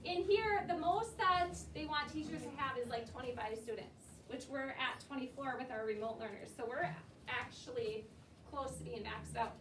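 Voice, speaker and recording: A woman with a high voice leads young pupils through a classroom discussion, instructing and asking questions. She is heard at a distance in an echoey room with clear background noise. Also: moderate pace. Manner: instructive, often repeating pupils' answers. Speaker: elementary school teacher. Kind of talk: classroom read-aloud discussion with questions to children. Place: indoor school classroom.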